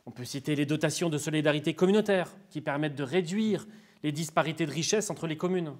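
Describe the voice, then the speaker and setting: A man's voice: fairly high pitch, fast talker. A man giving formal, didactic explanations as an expert in an interview, in structured, prepared sentences.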